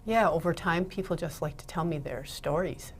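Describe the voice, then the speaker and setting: Low-voiced chatty Ontario woman. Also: moderate pace, slight background noise. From Ontario, Canada. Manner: chatty, laughs between thoughts. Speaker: guest, Mitzvah Technique teacher. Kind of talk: casual interview talk.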